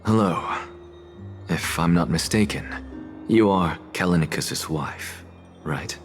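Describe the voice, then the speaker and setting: Low-voiced, haughty scholar. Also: male voice, soft background music. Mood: polite. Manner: pompous, measured, sardonic. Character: proud male scholar professor, aloof, sardonic, defiant. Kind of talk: dramatic video game acting.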